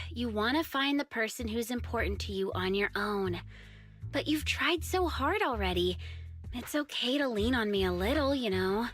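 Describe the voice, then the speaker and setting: A confident young-sounding hacker woman in a video game, speaks in a high register. She is breezy and teasing, a loyal friend who trades in intel. Light music plays behind her. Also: a bit bright.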